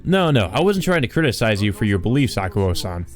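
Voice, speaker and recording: A man's voice: on the low side, big pitch swings, brisk. A man voicing an insolent, carefree male visitor in a visual novel, over-familiar and needling in manner. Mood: slyly probing.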